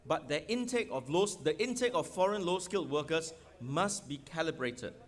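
A middle-aged man with a Singaporean accent, his voice pitched high for a man, speaks forcefully into a podium microphone on an outdoor stadium stage. He throws rhetorical questions at the crowd and hammers his points home as a political candidate. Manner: rhetorical questions, emphatic, gesturing. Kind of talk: election rally speech.

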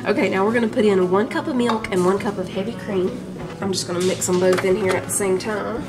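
A woman's voice: mid-range voice, distant mic. A woman with a Southern US accent, hosting a home cooking vlog and chatting with viewers as she cooks. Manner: chatty, addresses viewers as y'all. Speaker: vlog host, home cook. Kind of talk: casual cooking vlog narration. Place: home kitchen.

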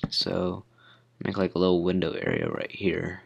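A teenage boy with a hoarse voice from a cold, rambling casually with plenty of fillers while commenting on his building game. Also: sits low, flat delivery, moderate pace, lo-fi sound, background noise. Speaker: gamer.

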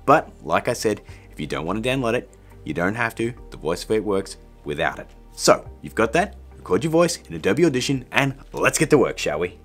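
A man's voice: fairly low pitch, sing-song, a little fast, faint music behind. A man in his thirties hosting a step-by-step video tutorial in an upbeat, chatty, informal way, talking straight to viewers. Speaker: host, video tutorial presenter.

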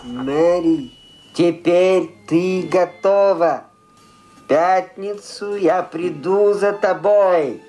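A dead husband's ghost calling through a radio in an Irish accent, each word stretched out very slowly and hollowly. The tone is dark and soft, and it sounds faint and far off under quiet music. It is a spooky presence from a radio play. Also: medium pitch. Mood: eerie. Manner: slow, hollow, drawn-out, almost sung. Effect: distant radio voice.

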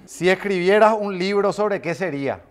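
A man, hosting a quick-fire studio interview with teasing banter, on a lapel mic in a dark studio. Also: high-pitched. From Paraguay. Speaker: interview host. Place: dark studio set, seated.

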